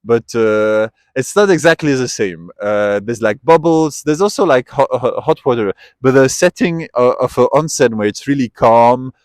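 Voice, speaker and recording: A man's voice: medium pitch, lively intonation, normal speed, hardly any pauses, no room sound, studio quality. A man from Switzerland, talking in a casual outdoor street interview, thoughtful and given to trailing off and restarting. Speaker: interviewee, tourist. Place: outdoors at a memorial site.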